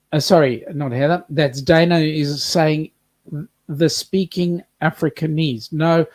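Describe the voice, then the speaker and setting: A chatty man with a fairly high voice, co-hosting a relaxed livestream. He works through viewer comments one after another in a dry, close-miked recording. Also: dry recording. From South Africa. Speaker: co-host reading live chat. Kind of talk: casual livestream chat.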